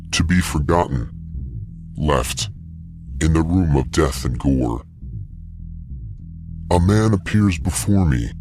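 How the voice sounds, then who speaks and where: A man playing a grim, brooding warrior who solemnly recites dark verse in an audio drama. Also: very deep voice, heavily acted. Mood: solemn, intense. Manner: slow, solemn, ominous delivery.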